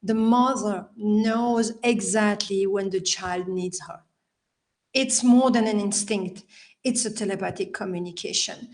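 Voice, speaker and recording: A woman's voice: mid-range voice, fairly even intonation, a little slow. A woman from Belgium with a Belgian French accent, a native French speaker, chatting as a podcast guest over a video call, laughing often and phrasing things in a non-native way. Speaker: podcast guest, healer and life coach. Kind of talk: casual interview conversation.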